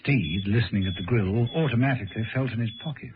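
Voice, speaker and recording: A man's voice: lowish voice, fast talker, noisy background. A man narrating a vintage radio serial in a dramatic, suspenseful scripted style. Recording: muffled old radio broadcast recording.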